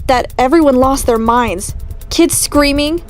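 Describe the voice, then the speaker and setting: A snobbish, wealthy teenage girl, played by a woman narrates with sarcastic drama. Her voice sits high, and clear music plays behind her. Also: measured pace. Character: snobbish rich teen girl.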